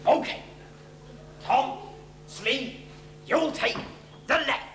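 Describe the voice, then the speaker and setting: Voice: sits high, lively intonation, exaggerated voice. A performer in an amateur pantomime playing a blustering military captain who barks absurd orders theatrically on stage. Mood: commanding. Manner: theatrical, commanding, drawn-out shouting. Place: theatre stage before an audience. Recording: echoey noisy stage recording, background music.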